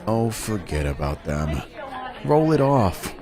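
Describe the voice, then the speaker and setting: A man's voice: lowish voice, big pitch swings, slow pace, crowd noise, full character voice. A man voicing a cocky, laid-back rich teenage boy who talks in breezy slang. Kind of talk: fan dub voice acting.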